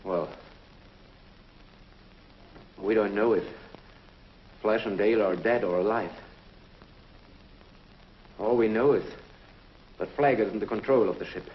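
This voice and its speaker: An actor playing a grave, hesitant galactic commissioner in a 1950s TV drama, on a muffled old soundtrack. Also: male voice, lowish voice, measured pace, pauses often, natural voice. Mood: solemn. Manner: hesitant, solemn.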